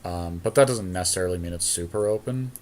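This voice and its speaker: A man with a fairly low voice chats casually as a podcast co-host. He thinks aloud through his options in a card game, talking each one over in conversational style. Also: fast, darkish tone, slight background noise. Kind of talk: casual chat while drafting a card game.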